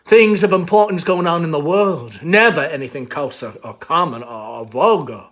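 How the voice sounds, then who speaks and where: A faded Southern belle of a mother, acted out by a man, airy and girlish in a raised pitch. She rambles and gushes theatrically, full of nostalgia for her youth, as in a stage drama. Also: animated, normal speed, a bit bright. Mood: airy boasting.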